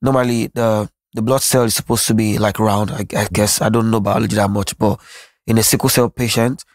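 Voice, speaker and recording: Nigerian man, Yoruba native speaker, earnest musician guest, level even tone, dry close mic. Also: lowish voice, a little fast, dry recording. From Nigeria (Lagos). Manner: earnest, reflective, frequent restarts. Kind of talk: candid interview conversation.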